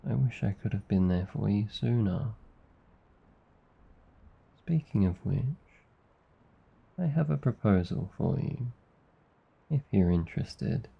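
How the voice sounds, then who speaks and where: Low, gravelly Australian demon comforter, acted by a man. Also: highs cut off, exaggerated voice. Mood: sympathetic, soothing. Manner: soft, intimate, soothing, teasing. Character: gentle, affectionate male sleep paralysis demon, protective comforter. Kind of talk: ASMR roleplay.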